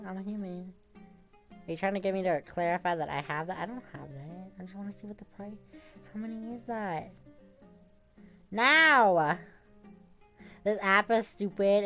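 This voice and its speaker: A woman giving casual gameplay commentary as a game streamer, rambling and thinking aloud in informal, slangy speech. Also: mid-range voice.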